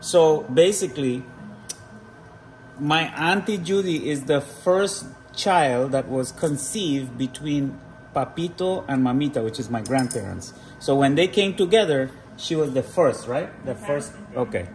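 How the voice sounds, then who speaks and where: A man's voice: on the high side, lively intonation, a little slow, darkish tone, a little hiss or hum, low-quality recording. A man hosting a casual vlog, explaining a recipe and often checking in with short tag questions. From Trinidad. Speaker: vlog host. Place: home kitchen.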